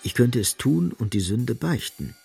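A man's voice: mid-range voice, expressive, unhurried, creaky, warm tone, husky, pitched above the performer's own voice, exaggerated voice. Man voicing a tender, musing young woman. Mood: musing, generous. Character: young, kindly, guileless, sensual Italian cashier woman. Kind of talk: radio play narrator voicing thoughts. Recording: faint music behind the voice.

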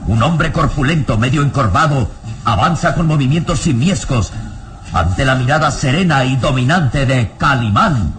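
A man's voice: mid-range voice, lively intonation, normal speed, noisy background. A man narrating a radio serial in a dramatic, scripted style.

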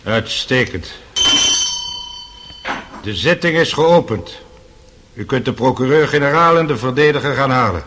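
Radio play casting: authoritative middle-aged judge, formal, forceful bright voice, slightly noisy recording. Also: male voice, medium pitch, animated, unhurried, pauses often. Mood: stern, official. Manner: formal, official. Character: brusque, authoritative middle-aged male court president. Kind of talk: radio drama acting.

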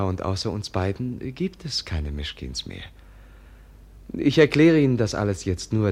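An actor in a radio play playing a gentle, naive young prince, courteous, candid and earnest in his speech. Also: deep voice, heavily acted. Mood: explanatory, earnest. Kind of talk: 1950s German radio play acting.

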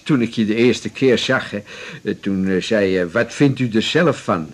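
An actor playing a blunt but sympathetic man in a radio drama, talking colloquially with teasing, halting questions. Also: fairly low pitch, natural voice. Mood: frank, sympathetic. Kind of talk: naturalistic radio drama dialogue.

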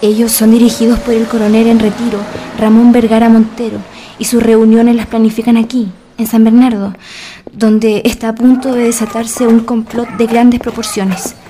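A woman's voice: a little high, flat delivery, normal speed, mellow, slight background noise, close to an everyday voice. A woman playing a wary, secretive informant in a radio drama, cautious and grave, with formal wording. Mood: grave, troubled. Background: background music.

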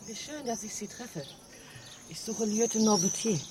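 A woman's voice: medium pitch, moderate pace, background noise, close to an everyday voice. An actress playing a blunt, persistent woman journalist with a direct manner in a German radio crime drama. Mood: confrontational. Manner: direct, probing. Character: blunt, fed-up, persistent female investigative journalist.

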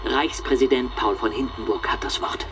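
An actor playing a sensational 1930s radio announcer in a period broadcast style. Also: male voice, mid-range voice, moderate pace, noisy background, close to an everyday voice. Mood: sensational, declaiming.

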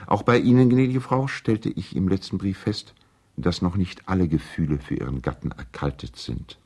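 Polite peacemaker lawyer, old german radio play, really low soft-toned man, formal and measured. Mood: earnest, hopeful. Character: courteous, conciliatory male lawyer and family friend. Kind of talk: 1970s German radio play, letter monologue.

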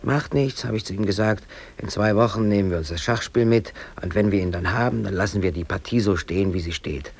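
Radio drama lead: brooding East German working man, late thirties, lowish voice, obsessive and embittered, talking to himself in swings from mutter to outburst. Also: a little fast, creaky, husky. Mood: wistful, rueful. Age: late 30s. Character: aggrieved East German factory worker and obsessive hobby photographer. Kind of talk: radio drama interior monologue.

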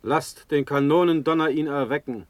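An actor playing a commanding officer in a German classical radio drama, declaiming loud, ringing martial cheers. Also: male voice, a little high, moderate pace, dry recording, close to an everyday voice. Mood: triumphant, martial.

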